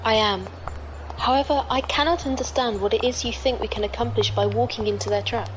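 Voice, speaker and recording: An actress playing a polite, formal and precise humanlike android squire in a science fiction audio drama. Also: female voice, on the high side, fairly quick, noisy background, close to an everyday voice. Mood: cool, wary.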